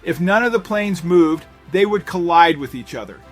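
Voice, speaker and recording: A man's voice: high voice, animated, normal speed. A man narrating a scripted suspense story in a dramatic storytelling manner. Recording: voice-over with music underneath. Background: background music.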